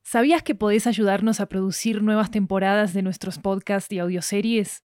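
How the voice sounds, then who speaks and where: An Argentine woman gives a scripted announcement. She speaks briskly and clearly in a friendly tone. The sound is close and dry. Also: medium pitch, steady tone, a little mellow, dry recording. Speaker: podcast host and narrator.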